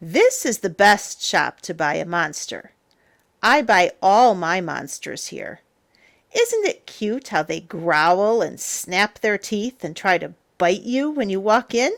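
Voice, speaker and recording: A woman reading a children's picture book aloud as a storyteller, in a theatrical, playful, emphatic way with drawn-out words. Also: medium pitch, sing-song, vocal fry, fairly bright tone. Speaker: storyteller, teacher.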